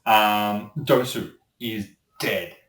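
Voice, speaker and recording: A man's voice: lowish voice, fairly even intonation, extremely slow, fairly bright tone. A man chatting casually as a voice actor being interviewed, rambling and opinionated. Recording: roomy echo, distant microphone.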